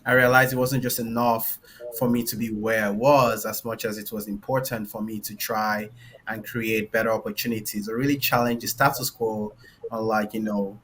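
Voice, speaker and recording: A man's voice: lowish voice, level tone, moderate pace, fairly bright tone, a little hiss or hum. Nigerian-accented man telling a story casually. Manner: loose, filler-heavy, tags lines with "right?". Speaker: panelist, engineer and founder.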